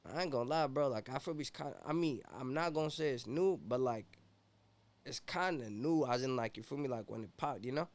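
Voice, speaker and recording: A man's voice: medium pitch, a little fast. A young man from Ethiopia, a rapper guest in a casual interview, talking laid-back and slangy with constant "you feel me" fillers.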